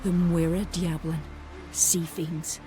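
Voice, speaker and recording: An actress playing a grieving rustic widow in a fantasy video game, plain-spoken and somber. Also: female voice, fairly low pitch, little pitch movement, slow pace, over music. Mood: grieving, bitter. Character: grieving rustic village widow who lost husband and son.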